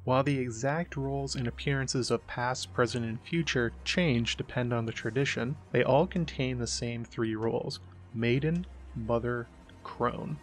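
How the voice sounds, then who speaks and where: A man hosts with scripted, explanatory narration. His voice sits at an average male pitch, and he reads as a presenter laying out a subject. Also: unhurried. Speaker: host, presenter.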